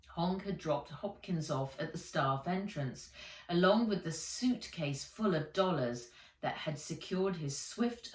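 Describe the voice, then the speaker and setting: Female storybook narrator, unhurried and lowish in pitch; roomy sound, a little distant. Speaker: author reading own book aloud. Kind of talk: children's audiobook narration. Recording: roomy echo, distant from the mic.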